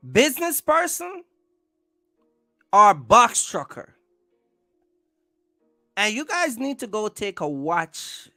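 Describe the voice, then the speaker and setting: Casting: content creator hosting a live stream, forceful bright voice, dry close sound, repetitive casual delivery. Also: medium pitch, no room sound. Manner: emphatic, repeats phrases and restarts often. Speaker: livestream host, content creator. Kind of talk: casual livestream talk, rambling commentary.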